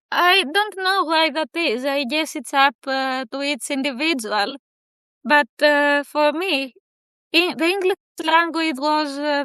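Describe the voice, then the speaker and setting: A woman's voice: sits high, a bit bright, no echo. A woman whose first language is Greek, answering interview questions as a poet in a thoughtful, hesitant way with many fillers. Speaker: poet guest.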